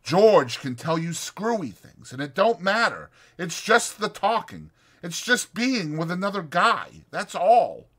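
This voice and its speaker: A man's voice: sits high, a little slow, hardly any pauses, pitched above the performer's own voice, heavily acted. A man voicing a lonely, bitter, needling stable hand in a dramatized audiobook, with folksy, insistent, taunting talk. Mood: excited. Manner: insistent, taunting, folksy, drawn into excited speeches.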